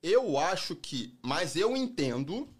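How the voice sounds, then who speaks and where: A man's voice: on the high side, sing-song, slow pace, slightly rough. A man from Brazil with a strong Rio accent, a guest in a casual podcast chat, talking emphatically. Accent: carioca. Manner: emphatic, swears he never felt prejudice.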